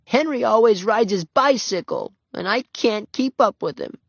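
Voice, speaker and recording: A man voicing a small, stubborn little girl with a slow, high, tearful voice. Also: lively intonation, gravelly, dry recording, exaggerated voice. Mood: whiny. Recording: dull lo-fi dry recording.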